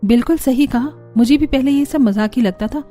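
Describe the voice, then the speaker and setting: A woman's voice: sits high, a little fast, warm tone, faint music behind. A woman voicing a weary, grumbling elder daughter-in-law with a resigned, knowing manner in a cartoon story.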